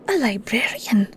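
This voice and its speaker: A woman's voice: medium pitch, fairly bright tone, a little hiss or hum, full character voice. A woman playing a flustered, sweet young Scottish shepherdess in an intimate audio roleplay, bashful, stammering and rambling. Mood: chatty, enthusiastic.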